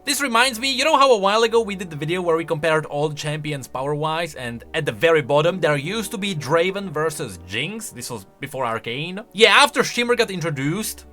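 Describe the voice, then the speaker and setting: A man's voice: a little high, expressive, fairly quick, hardly any pauses, sharp tone, faint music behind. A man giving chatty, casual commentary on video game lore, often asking rhetorical questions with emphatic delivery.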